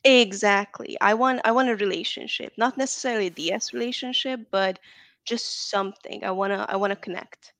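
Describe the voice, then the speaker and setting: Interview guest: woman, gravelly voice, dry close sound, chatty with lots of likes and ums. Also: medium pitch, a little fast, fairly bright tone, no room sound. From Hungary. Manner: chatty, frequent "like" and "um". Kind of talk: casual interview chat.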